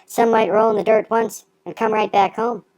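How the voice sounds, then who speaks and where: A man's voice: sits high, fairly even intonation, normal speed, darkish tone, pitched above the performer's own voice. A man voicing a curious, innocent young female kitten in an audiobook, chatty and earnest with a childlike, inquisitive way of talking. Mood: eager. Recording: echoey, long reverb.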